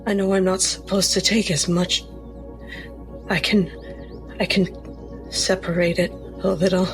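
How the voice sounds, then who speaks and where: A woman acts out a timid, drugged patient in a horror roleplay game. She speaks slowly and haltingly, stumbling over repeated words in a foggy, fearful way. Faint music plays behind her. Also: fairly low pitch, level tone, poor audio.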